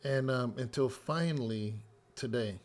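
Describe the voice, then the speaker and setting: A man with a slightly hoarse, raspy voice, still getting it back after a cold. He speaks at a plain middle pitch, talking loosely and personally to his congregation, with lots of "um" and "you know". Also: unhurried. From California. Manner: relaxed, rambling storytelling with many fillers. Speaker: pastor. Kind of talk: casual spoken devotional update.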